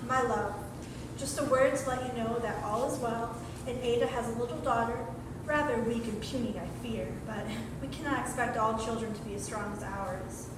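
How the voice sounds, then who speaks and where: An actress playing a fussy, sharp-tongued married sister-in-law in a stage play reading, with a prim and managing manner. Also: female voice, on the high side, moderate pace, close to an everyday voice. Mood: brisk, self-satisfied. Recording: echoey noisy hall from far off.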